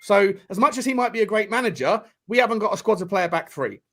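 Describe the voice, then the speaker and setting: A man co-hosting a football podcast, animated and long-winded, tagging his points with "right?" and "mate". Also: high voice, quick pace. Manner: animated, explains at length, says "mate" and "right". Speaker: podcast co-host. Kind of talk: casual football chat.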